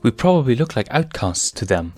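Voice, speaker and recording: A young female lion cub from a storybook, voiced by a man, lifted in pitch. She is friendly and curious, speaking gently over faint music. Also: big pitch swings, a little fast.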